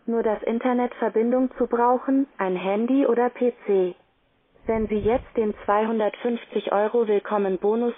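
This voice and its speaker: A woman delivering a scripted sales announcement as an automated caller over the phone. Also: fairly high pitch. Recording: tinny phone line recording.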